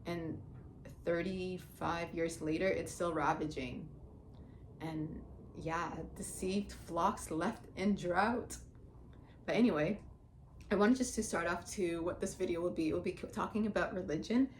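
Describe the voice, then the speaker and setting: A woman's voice: fairly low pitch, measured pace, a little hiss or hum. A Somali-born woman in her forties with Somali as her first language, talking bluntly and swearing in a casual vlog. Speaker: vlogger. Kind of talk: casual talk to camera.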